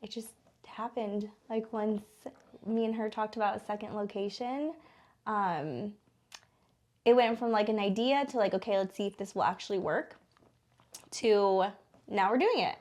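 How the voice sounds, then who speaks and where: A woman's voice: mid-range voice, normal speed, long pauses, a little mellow. An American woman in her thirties speaking informally as an interview guest, in a chatty way with lots of casual filler words like "like". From born in the Philippines, raised in Denver from age eight. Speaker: interview guest, small business co-owner. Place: small studio.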